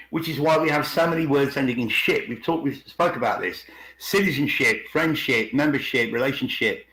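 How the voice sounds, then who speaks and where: A man co-hosting a podcast, explaining conversationally and slowing down to stress key words. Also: mid-range voice, a bit bright. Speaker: podcast co-host. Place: indoors on an online group call. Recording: roomy, echoing online call.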